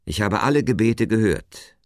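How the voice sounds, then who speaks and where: A man's voice: fairly low pitch, lively intonation, normal speed, vocal fry, darkish tone, dry recording, clean studio sound, pitched above the performer's own voice. A man voicing God as a stern, commanding deity in a Bible story, speaking solemnly and emphatically. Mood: solemn, resolute.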